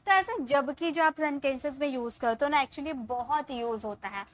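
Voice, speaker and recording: A woman in her thirties teaching spoken English, explaining in Hindi and saying the English sentences slowly, word by word, and often checking in with her viewers. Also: high voice. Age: 30s. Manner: addresses viewers as guys, checks in with "ठीक है".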